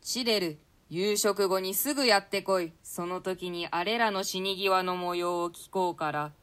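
A ruthless usurper king, acted by a woman, in a smooth, lowish voice. The delivery is theatrical and imperious, coarse in its scorn, as in a classical stage drama. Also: unhurried, fairly bright tone. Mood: imperious, expectant. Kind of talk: classical stage play reading.

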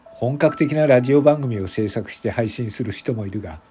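A man reading scripted narration for an audio drama in a measured, deliberate manner, phrase by phrase. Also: mid-range voice, warm tone, slight background noise.

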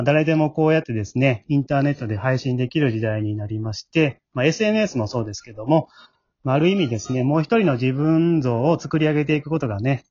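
A man's voice: medium pitch, highs cut off. A man hosting a casual chat, polite and explanatory. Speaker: host and scriptwriter of an amateur drama group. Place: at home, remote recording.

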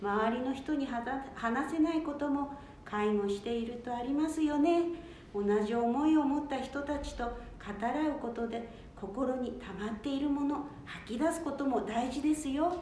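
A very echoey room surrounds a woman acting as a kind care manager in a radio drama. Her voice is high and soft-toned, attentive and polite. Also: normal speed, natural voice. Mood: encouraging. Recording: very echoey room, faint rumbling noise.

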